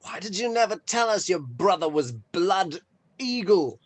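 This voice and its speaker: A man in an informal table read playing an excitable, starstruck metal band member. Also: high voice, a little slow, dry recording, exaggerated voice. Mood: indignant.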